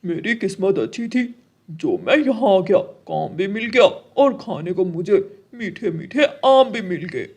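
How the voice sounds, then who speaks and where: Casting: cartoon male crow guard played by a woman, slow-spoken, emphatic, full of big promises, middling pitch. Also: expressive, darkish tone. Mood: pleased. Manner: emphatic, boastful. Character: boastful, hardworking male crow watchman. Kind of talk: children's cartoon voice acting.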